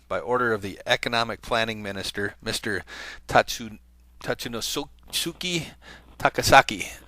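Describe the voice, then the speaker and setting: A man's voice: on the low side, vocal fry, pushed and bright, no echo, low-quality recording. A man hosting an online talk channel, reading a long letter aloud to his viewers in a conversational way, with fillers and small self-corrections. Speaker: video channel host.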